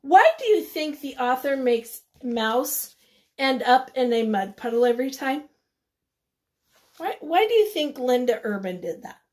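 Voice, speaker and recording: A woman teacher leading a read-aloud lesson for young children, encouraging and instructive, pausing after simple questions. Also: high voice, big pitch swings. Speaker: elementary school teacher.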